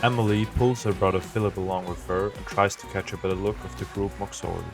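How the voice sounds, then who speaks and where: A man narrates an audio drama in a deep, low voice. His reading is measured and gentle. Soft music plays faintly under him.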